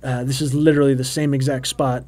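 Mellow, rambling man giving a commentary. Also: mid-range voice, flat delivery, quick pace. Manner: rambling, filler-heavy, with quaintly formal phrasing. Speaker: puppeteer and co-host of a commentary track. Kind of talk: relaxed behind-the-scenes commentary. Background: faint background music.

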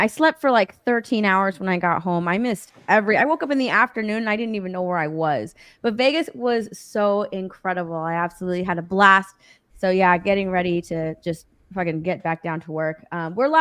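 A tired woman with a rough voice, chatting casually on a livestream panel. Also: medium pitch, fairly quick, a bit bright, no room sound, clean studio sound.

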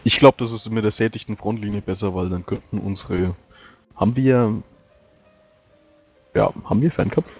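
A man playing a tabletop game, casually talking tactics with quick agreements and restarts. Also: on the low side, steady tone, slightly rough, faint music behind. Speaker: tabletop player. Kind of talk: casual strategy discussion.

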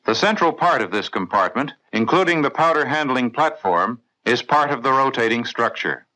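A man with an American accent reading scripted mid-century instructional film narration for the navy in a clear, methodical, authoritative way. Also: mid-range voice, expressive, normal speed, bright, forceful tone, low-quality recording. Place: voice-over recorded in a studio.

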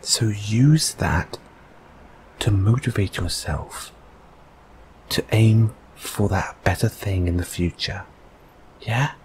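A gentle boyfriend acted by a man in an intimate audio roleplay, with a fairly low voice and an unhurried, halting delivery. He is soft, reassuring and encouraging toward his partner. There is clear background noise behind him. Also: rough recording.

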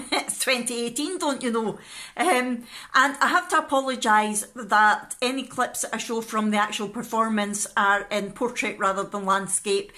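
A woman's voice: medium pitch, pushed and bright. A middle-aged woman with a Scottish accent, chatting informally to camera as a vlogger in her living room. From Scotland. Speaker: vlogger and pantomime scriptwriter. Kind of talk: casual vlog talk to camera. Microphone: phone at arm's length.

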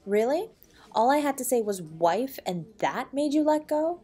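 A woman playing a protective, loving wife in an intimate audio roleplay, with a breathy voice. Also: high voice, very animated, a little slow, mellow, exaggerated voice. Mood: firm, indignant. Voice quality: breathy, often dropping to a whisper. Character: protective loving wife comforting and defending her husband.

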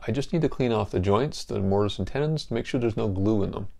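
A man in his forties calmly explaining a furniture repair step by step while working in his home wood shop. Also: lowish voice, fast talker, creaky. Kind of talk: hands-on repair tutorial.

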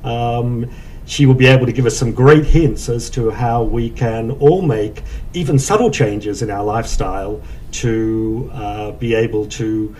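An older man hosting a roundtable interview, courteous and measured, with frequent ums as he introduces his guest. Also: mid-range voice, a little mellow, far from the mic, a little hiss or hum, poor audio. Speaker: host. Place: office meeting room, indoors. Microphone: desk microphone from a distance.

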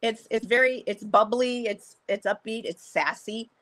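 Middle-aged woman guest, crisp bright tone, chatty and rambling, webcam audio from home. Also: normal speed. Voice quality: deeper voice for a woman. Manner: chatty, many fillers and restarts, laughs often. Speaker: guest, photographer and songwriter. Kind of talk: casual interview chat. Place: home room, video call.

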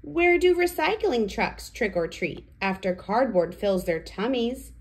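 A woman's voice: fairly high pitch, animated, unhurried. A woman in her thirties presenting to toddlers and reading picture books aloud in simple, exaggerated child-directed speech. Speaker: children's educational presenter and storyteller. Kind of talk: toddler lesson and picture-book read-aloud.

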